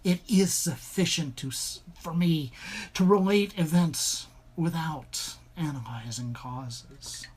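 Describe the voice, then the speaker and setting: Man acting out haunted gentleman narrator, bookish, defensive, formal, deliberate, ornate gothic storybook reading. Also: a little high, slightly rough. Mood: solemn, confiding.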